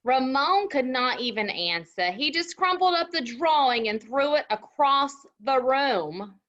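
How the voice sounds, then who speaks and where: A woman's voice: high-pitched, animated, a little slow, fairly bright tone. A woman co-hosting a children's story show, reading aloud and chatting between passages. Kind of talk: children's read-aloud with chat. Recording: muffled lo-fi audio.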